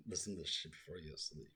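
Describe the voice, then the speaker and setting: A man rambling through his own life story in a soft, low voice he calls soothing, full of fillers and asides. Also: fairly quick, husky. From South Lake Tahoe, California. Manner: rambling, self-deprecating, full of fillers and digressions.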